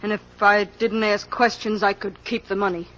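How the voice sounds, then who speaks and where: An actress playing a hostile, drunken, greedy American aunt in an old radio crime drama, slangy and defensive. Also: female voice, medium pitch, level tone, moderate pace, a bit bright, lightly acted. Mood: evasive. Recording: dull lo-fi old radio recording.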